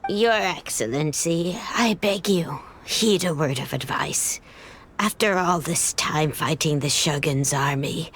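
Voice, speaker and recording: A woman's voice: fairly low pitch, fairly bright tone, slightly rough, full character voice. An actress voicing a wary, loyal village woman in an anime game, solemn, deferential and pleading. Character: earnest, wary female villager loyal to her leader.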